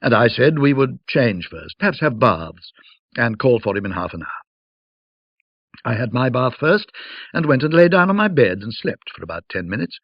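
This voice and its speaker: A man narrating a classic ghost story in a measured, atmospheric, old-fashioned way, with conversational asides. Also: mid-range voice, very animated, dry recording, highs cut off. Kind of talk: dramatic scripted storytelling, in an old-fashioned classic audiobook manner.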